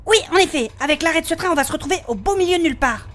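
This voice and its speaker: Woman as a touchy, high-voiced adventurer lady. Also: expressive, rapid-fire, fairly bright tone. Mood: evasive. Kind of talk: comic fantasy audio drama acting.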